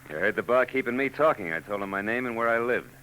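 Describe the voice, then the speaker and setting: An actor playing a hardboiled private eye in a 1940s radio drama, dry and sardonic with quick wisecracks. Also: male voice, medium pitch, lively intonation, pushed and bright, poor audio. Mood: tense. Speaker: narrator and lead.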